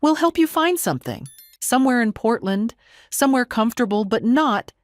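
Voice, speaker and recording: Woman acting a shrill-edged furious younger daughter-in-law. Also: lively intonation, normal speed, dry recording, studio quality, close to an everyday voice. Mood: insistent. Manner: indignant, scolding. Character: angry, controlling younger daughter-in-law, close to panic.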